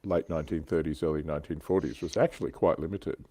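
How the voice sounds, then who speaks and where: A man's voice: rumbling low, steady tone, fast talker, warm tone, husky, no echo. An Australian man explaining things in casual conversation on a livestream. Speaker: livestream panellist.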